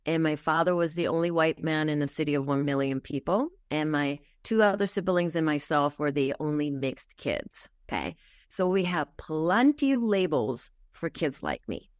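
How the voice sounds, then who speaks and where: A woman's voice: sits low, dry recording, clean studio sound. Earnest middle-aged woman from Japan. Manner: earnest, explains concepts step by step with fillers. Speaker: guest wellness practitioner. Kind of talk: explanatory interview talk.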